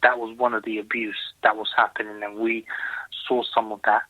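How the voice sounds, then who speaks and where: A man's voice: on the low side, level tone, a little fast, dry recording. A man calling in to a radio show, talking in an earnest, emphatic way and repeating his points. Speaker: caller. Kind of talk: phone-in call to a radio show. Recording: tinny phone line.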